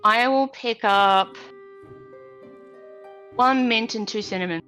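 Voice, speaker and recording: A woman's voice: fairly high pitch, slow, bright, forceful tone, faint music behind. A woman chatting casually as a player in a tabletop fantasy game.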